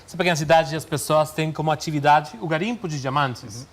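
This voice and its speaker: Mexican magician speaking broken Portuguese. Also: male voice, fairly high pitch, brisk, a little hiss or hum. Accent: Mexican Spanish. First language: Spanish. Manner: non-native Portuguese with errors, warm and playful. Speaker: magician guest. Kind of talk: storytelling on a TV show. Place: TV studio.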